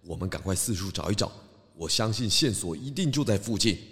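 Guy doing a clever confident pig detective, lowish voice that swoops around, theatrical, music under it. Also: expressive, fairly quick, fairly bright tone, husky, no room sound, studio quality. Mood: composed, determined. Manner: theatrical, emphatic exclamations and knowing chuckles. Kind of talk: children's detective audio drama.

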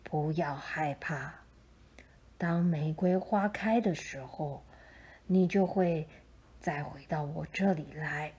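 A woman voicing a kindly old male saint in a gentle, hushed storybook manner. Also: low voice, takes it slowly, husky, voice pushed down, exaggerated voice. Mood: tender, hushed.

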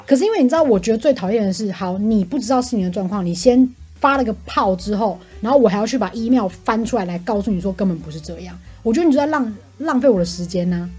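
A woman with a Taiwanese accent venting about work in casual chat, animated and indignant, mixing in English phrases. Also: mid-range voice, fast talker, hardly any pauses, breathy edge, faint music behind.

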